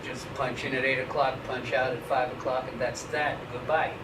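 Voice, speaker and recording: A man's voice: medium pitch, level tone, normal speed. A man in his fifties being interviewed about writing, talking frankly and wryly with loose, casual language and frequent restarts. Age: 50s. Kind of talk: relaxed academic interview. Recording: echoey room, mic at a distance, noisy.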